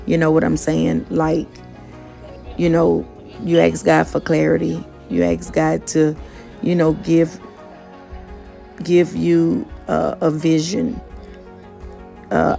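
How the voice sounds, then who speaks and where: Slow, gravelly, monotone woman. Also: low-pitched, soft background music. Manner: monotone, self-described; conversational, many fillers and restarts. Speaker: motivational vlogger and gig worker. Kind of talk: casual motivational talk.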